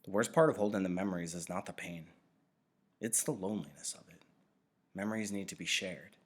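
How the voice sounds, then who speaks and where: A man voicing a weary, gentle old man who keeps memories, speaking calmly and measuredly in a read-aloud of a novel. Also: deep voice, brisk. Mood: sorrowful.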